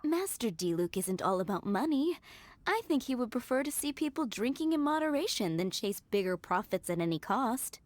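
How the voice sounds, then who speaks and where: A woman voicing a courteous, gentle winery maid in a video game, speaking in a measured, deferential manner. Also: on the high side, very animated. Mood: polite, explanatory. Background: music.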